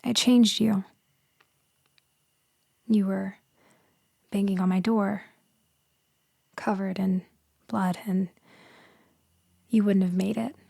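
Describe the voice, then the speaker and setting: Woman acting gentle female vampire, ASMR roleplay, breathy whispers, faint creak, close dry sound, reassuring, hesitant. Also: medium pitch, fairly even intonation, dry recording. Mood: gentle, explanatory. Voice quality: soft and breathy, often dropping to a whisper. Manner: tender, slow, reassuring, hesitant pauses. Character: gentle female vampire soothing someone she saved.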